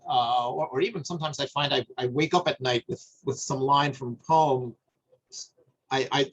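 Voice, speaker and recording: A middle-aged man from New Jersey talking in a thoughtful, halting way, often repeating words as he searches for them. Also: medium pitch, a bit bright, husky, highs cut off. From New Jersey, USA. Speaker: guest. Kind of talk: reflective conversation.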